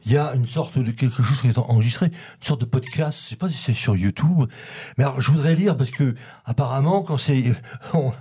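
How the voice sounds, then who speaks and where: A man's voice: mid-range voice, warm tone, husky. A man hosting a radio interview, talking hesitantly and often repeating words. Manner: hesitant, repeats words, chuckles while reading. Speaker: radio host.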